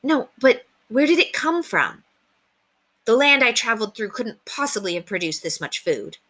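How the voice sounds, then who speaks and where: A woman's voice: on the high side, sing-song, normal speed, a bit bright, pitched above the performer's own voice. A woman voicing an earnest, polite, tender-hearted young boy hero in a storybook read-aloud. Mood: curious, puzzled. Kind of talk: storybook character reading.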